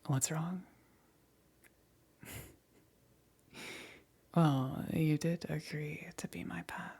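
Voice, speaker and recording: A woman voicing a menacing, teasing demon queen in an ASMR roleplay, with a breathy, whispery voice and a coaxing, softly amused, commanding manner. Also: low voice, steady tone, very slow, heavily acted. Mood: possessive, smug.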